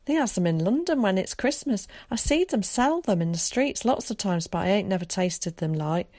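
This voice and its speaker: A woman's voice: mid-range voice, animated, moderate pace, a little mellow, no room sound, pitched above the performer's own voice, close to an everyday voice. A woman voicing a shy, earnest young evacuee boy in a storybook, with ungrammatical working-class speech. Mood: excited.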